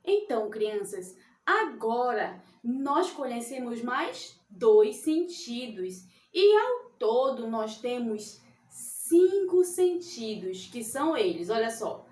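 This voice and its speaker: Slow sing-song teacher woman, echoey room. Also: on the high side, sing-song, darkish tone. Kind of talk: children's science lesson.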